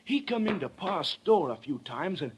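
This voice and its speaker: A man's voice: high-pitched, very animated, normal speed. An actor playing an earnest, desperate frontier boy in a 1930s radio western, with folksy speech. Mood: earnest explaining. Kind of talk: 1930s radio drama acting. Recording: noisy 1938 radio recording.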